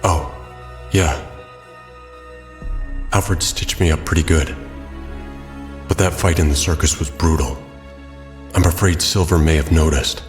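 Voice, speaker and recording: A man's voice: rumbling low, steady tone, unhurried, pauses often. A man acting a grim, weary masked crime-fighter in an audio drama, terse and brooding. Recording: cavernous long reverb, music underneath.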